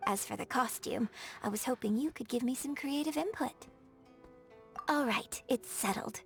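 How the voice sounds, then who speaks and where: A woman's voice: sits high, moderate pace, faint music behind. A woman voicing a courteous, refined young female opera performer in a video game, speaking formally with careful enunciation and a light, melodious voice. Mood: pleased, eager. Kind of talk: scripted game character dialogue.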